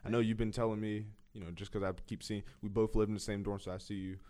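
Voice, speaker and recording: A young man with a deep, mellow voice rambles along as co-host. He mocks himself lightly and hesitates with ums as he thinks aloud. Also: little pitch movement, quick pace. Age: young adult. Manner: rambling, self-mocking, hesitant. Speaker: student co-host. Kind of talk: casual chat.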